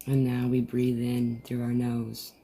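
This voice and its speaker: A person leading a guided meditation in a soothing, deliberate manner with long pauses, recorded over a video call. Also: mid-range voice, monotone, dark tone. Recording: noisy, muffled group video-call audio.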